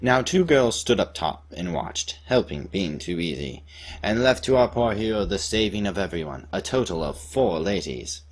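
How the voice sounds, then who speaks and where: A pompous balladeer acted by a man declaims a comic storytelling ballad. The voice is low, and the delivery is theatrical and emphatic, treating small misadventures as grand heroics. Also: lively intonation, moderate pace. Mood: mock-heroic. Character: mock-heroic balladeer, grandly recounting a young man's misadventure. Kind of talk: dramatic recitation of a comic rhyming ballad.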